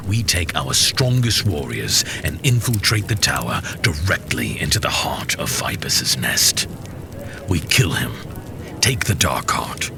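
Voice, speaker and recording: A man playing a menacing, grandiose rebel warlord in a fantasy audio drama, speaking in a gravelly, whispery growl. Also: very deep voice, noisy background, exaggerated voice. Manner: slow, grandiose.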